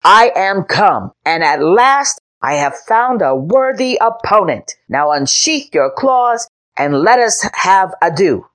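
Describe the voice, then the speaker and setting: A woman voicing a pompous six-year-old boy knight who makes grand, loud declarations. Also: mid-range voice, sing-song, takes it slowly, few pauses, pitched above the performer's own voice. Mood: boastful.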